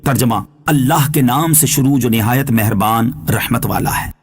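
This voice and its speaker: A man's voice: mid-range voice, moderate pace, husky. Man reading formal religious commentary. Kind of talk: scripted religious narration of scripture commentary.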